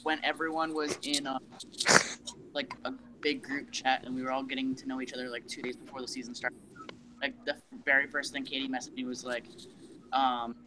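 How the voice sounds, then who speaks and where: A man's voice: medium pitch, flat delivery, fairly quick, noisy background. A man chatting casually as a game contestant, recounting things to the group.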